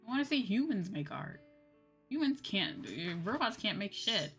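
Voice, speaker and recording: A woman's voice: fairly high pitch, very animated, moderate pace, a bit bright, soft background music. A woman livestreamer chatting casually while gaming, blunt and swearing freely, repeating her points for emphasis. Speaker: livestream host, VTuber.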